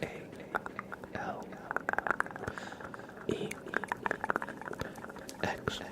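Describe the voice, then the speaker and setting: A man hosting an ASMR livestream, speaking softly and casually to viewers in a gentle, rambling, hesitant way. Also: extremely slow. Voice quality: soft, breathy whispering with creaky low undertone. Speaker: livestream host.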